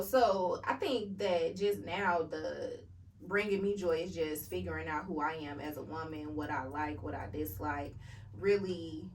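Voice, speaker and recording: A woman's voice: low-pitched, slight background noise. Laid-back woman in her thirties, slightly distant mic. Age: 30s. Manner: laid-back, laughs things off, candid. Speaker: podcast guest. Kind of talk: casual interview chat.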